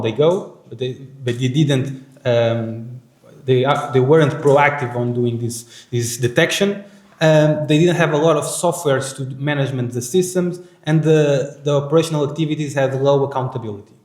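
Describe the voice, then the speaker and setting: A man with a Portuguese accent gives a conference presentation at a middle pitch. He speaks haltingly, full of fillers and restarts. His voice echoes around a lecture hall. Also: darkish tone.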